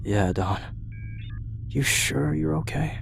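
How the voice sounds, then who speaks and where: A man's voice: mid-range voice, lively intonation, takes it slowly, vocal fry, soft background music, full character voice. A man playing a serious teenage turtle team leader in an audio drama, level-headed and direct. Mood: concerned.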